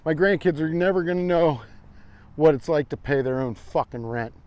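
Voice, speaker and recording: A man's voice: on the high side, normal speed, a little mellow, lightly acted. A man voicing a possessive, crotchety old grandparent who rants belligerently and swears, in a comedic vlog bit. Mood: indignant ranting.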